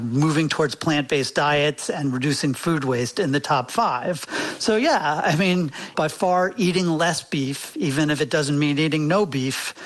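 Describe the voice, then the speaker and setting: Higher-pitched man explaining informally. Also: a bit bright, rough recording. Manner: informal explaining, peppered with you know. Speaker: guest author. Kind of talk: conversational interview.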